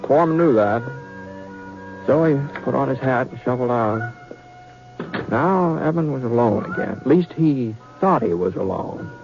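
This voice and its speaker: An actor playing a folksy western yarn-spinner, narrating with dramatic pauses in old-time radio style. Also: male voice, mid-range voice, lively intonation, over music, lightly acted. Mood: folksy, suspenseful. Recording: dull vintage radio recording.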